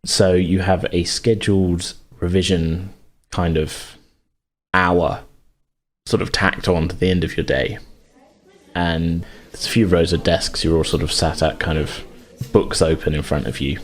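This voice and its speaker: A man's voice: low-pitched, fairly even intonation, measured pace. A man narrating casually as game master, improvising in an informal, hedging way.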